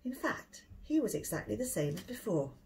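A middle-aged woman with a British accent reading a children's storybook aloud, expressively and clearly for young listeners. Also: medium pitch, very animated, moderate pace, slight background noise. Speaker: speech and language therapist reading a story.

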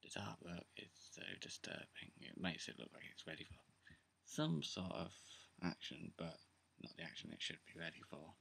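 A young man with a sore, croaky throat, talking quietly in a half-whisper at home while he goes through his card game deck for a casual video. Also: low voice, big pitch swings, normal speed. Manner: hushed, half-whispering late at night. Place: indoors, seated on a bed or floor at home. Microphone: camera close in front, pointed at his hands.

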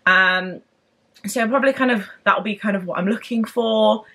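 A young woman talking straight to her camera at home and in a parked car, bubbly and chatty. Her pitch sits in a middle range and she tumbles eagerly from one aside to the next. It sounds like an easygoing, excitable vlog. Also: moderate pace. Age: young adult. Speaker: book vlogger. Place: home room with bookshelves, later parked car.

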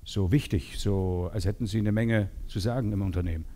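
A man in his forties working as a sales trainer, talking to seminar participants in an animated, teasing way and acting out bits of dialogue. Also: lowish voice, brisk. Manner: animated, teasing, role-plays short dialogues. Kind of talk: lively seminar talk to participants. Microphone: headset.

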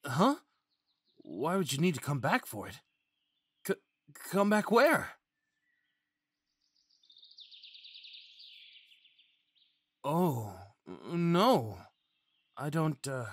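Man playing a timid, husky, excitable young faun. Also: a little high, full character voice. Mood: confused, downcast. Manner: stammering, hesitant, childlike eagerness. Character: timid, eager, naive young male faun, sweetly pleading. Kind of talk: ASMR fantasy roleplay, acted monologue.

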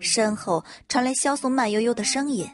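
A woman narrating a web audio novel in an even storytelling manner. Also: on the high side, moderate pace, soft background music.

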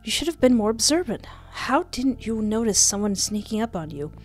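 A woman's voice: on the high side, big pitch swings, normal speed. Woman narrating and commenting on a game. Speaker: gaming video host. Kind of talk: let's play commentary with dramatic read-aloud narration. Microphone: close desk microphone with headset. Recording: music behind.

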